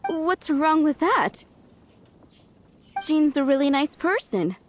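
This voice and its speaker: A woman playing an earnest, fretful young townswoman in a video game, with an expressive, pleading delivery. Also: high-pitched, slow, a little hiss or hum, exaggerated voice. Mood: hopeful. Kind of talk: video game character voice acting. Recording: music behind the voice.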